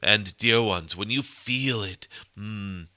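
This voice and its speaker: A man voicing a benevolent cosmic golden dragon spirit, delivering a spiritual message in formal, halting phrases punctuated by murmured hums. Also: lowish voice, bright, forceful tone, no echo, studio quality, full character voice. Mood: serene, reassuring. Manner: formal, otherworldly phrasing, tenderly addressing listeners. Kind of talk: channeled spiritual message, sermon-like.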